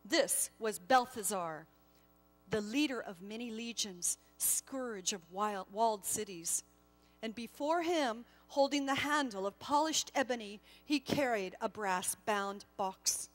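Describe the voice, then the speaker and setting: High-voiced woman telling a dramatic story. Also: expressive, slow, faint music behind. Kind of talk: dramatic scripted story narration.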